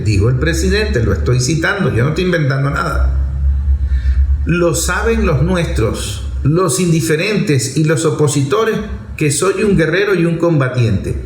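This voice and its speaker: Venezuelan man, higher-pitched voice, roomy echo. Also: a little mellow. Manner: didactic, repeats phrases for emphasis, asks rhetorical questions. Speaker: political commentator addressing an online audience. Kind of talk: extemporaneous political commentary. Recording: roomy echo with faint background noise.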